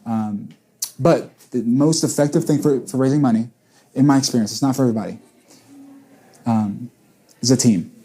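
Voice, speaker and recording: A man's voice: medium pitch, moderate pace, long pauses, warm tone, breathy edge. A man in his late twenties, a church planter and pastor, talking earnestly in a casual panel chat and repeating words as he thinks. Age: 20s.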